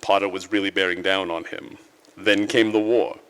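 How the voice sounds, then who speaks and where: An actor playing a calm, authoritative senior angel who narrates a 1940s-style radio drama, recorded live in a church. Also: male voice, low-pitched, normal speed, clear, resonant tone. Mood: storytelling. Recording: live church hall, long reverb.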